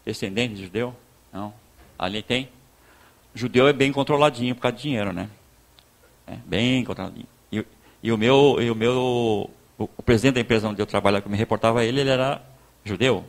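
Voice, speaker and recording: A man with a southern Brazilian accent from Rio Grande do Sul, preaching informally in a conversational, halting storytelling way, repeating words and acting out dialogue. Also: mid-range voice. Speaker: pastor giving a talk. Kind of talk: informal sermon with personal testimony.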